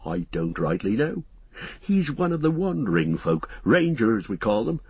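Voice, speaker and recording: A man's voice: fairly low pitch, big pitch swings, unhurried, a little mellow, breathy edge, dry recording, lo-fi sound, heavily acted. A man voicing a folksy middle-aged country innkeeper in a storybook reading, rambling and confiding in rustic speech. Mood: confiding, gossipy.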